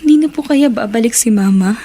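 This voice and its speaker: An actress with a Filipino accent playing a polite, forgiving young girl in a radio drama, gently deferential. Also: on the high side, brisk, breathy edge. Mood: wistful.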